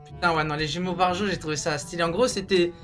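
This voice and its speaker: A man's voice: high-pitched, fast talker. A young man chatting casually and jokingly in slangy language, like a YouTube host telling stories to a friend. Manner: slangy, joking, animated storytelling. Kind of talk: casual banter, ranking cartoons. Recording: music behind the voice.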